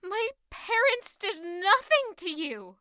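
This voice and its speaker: Fantasy audio drama: stubborn betrayed young refugee played by a woman, measured, intense, accusatory, piercingly bright, dry recording. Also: very high-pitched, sing-song, dry recording, exaggerated voice. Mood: indignant. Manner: intense, accusatory, emotionally charged.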